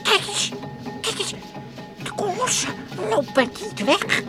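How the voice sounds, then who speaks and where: Een vrouw die in een hoorspel voor kinderen een klein, koppig en nieuwsgierig buitenaards wezentje speelt, met uitgeroepen onzinwoordjes en wat stijve zinnen. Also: female voice, extremely high pitch, expressive, slow, music in the background, exaggerated voice. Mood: eager, delighted. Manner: exclaims repeatedly in nonsense catchwords, slightly stilted phrasing. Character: small eager childlike alien creature, curious and stubborn. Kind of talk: children's radio play acting. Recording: old radio play recording.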